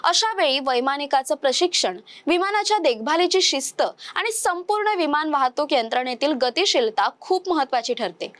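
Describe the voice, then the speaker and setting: A young woman with a high voice reads a scripted news explainer. She keeps a measured, formal newsreader delivery throughout. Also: a bit bright. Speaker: news presenter.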